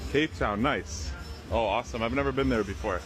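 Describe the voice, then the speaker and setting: A man from Canada chatting casually with strangers on the street, friendly and asking quick questions. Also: medium pitch. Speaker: street interviewer. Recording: street clip with loud music over it.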